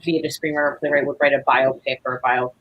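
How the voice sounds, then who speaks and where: Low, husky woman talking casually. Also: flat delivery, racing, lo-fi sound. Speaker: guest, playwright. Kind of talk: casual interview chat.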